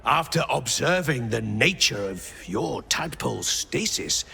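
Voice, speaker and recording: An actor playing a kindly, curious mind flayer scholar who speaks in a slow, polite, gravelly way in a video game. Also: male voice, mid-range voice, lively intonation, pushed and bright, exaggerated voice. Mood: warm.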